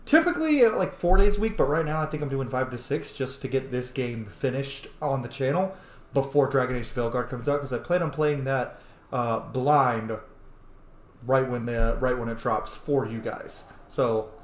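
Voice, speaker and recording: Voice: mid-range voice, lively intonation, normal speed, roomy sound. A game streamer giving casual roleplay commentary in a candid way, with some swearing. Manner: candid, profane. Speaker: gaming video host.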